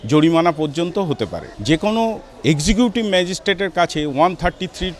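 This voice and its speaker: Slightly high-voiced man explaining rules. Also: animated, brisk, slight background noise. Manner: mixes English legal terms into speech. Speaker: legal expert commentator. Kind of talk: explanatory interview comment.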